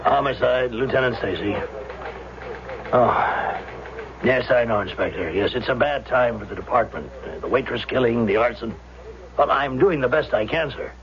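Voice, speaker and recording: An actor playing a harried, skeptical homicide lieutenant with a stammering, incredulous manner in a 1970s radio drama. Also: male voice, medium pitch, moderate pace, over music, close to an everyday voice. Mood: flustered, defensive. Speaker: police detective. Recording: dull vintage radio recording, faint noise.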